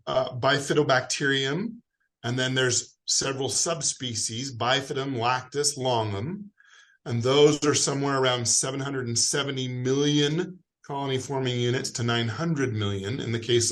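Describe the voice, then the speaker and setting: A man's voice: medium pitch, a bit bright. An American man answers interview questions over a video call. His voice sits in a middle range, and he explains in a friendly, rambling way with plenty of fillers. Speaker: guest expert.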